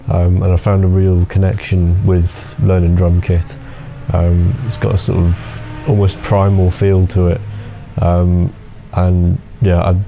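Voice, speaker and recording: A young man with a thick Scouse accent, a music student answering interview questions thoughtfully, pausing often with ums. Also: low voice, gravelly, warm tone, slight background noise. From Liverpool. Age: young adult. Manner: thoughtful, hesitant with many ums.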